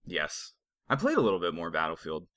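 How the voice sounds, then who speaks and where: A man's voice: sits low, huge pitch swings, a little fast, fairly bright tone. A young man hosting a casual podcast, chatting and joking with friends. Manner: joking, laid-back banter. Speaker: podcast host. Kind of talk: casual chat.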